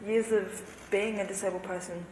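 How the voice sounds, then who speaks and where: A woman's voice: mid-range voice, fairly even intonation, moderate pace, darkish tone, a little hiss or hum. A young woman in her twenties speaking as a panel guest, rambling with plenty of fillers and hedges. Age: 20s. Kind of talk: panel discussion answers. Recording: roomy hall with faint ambience.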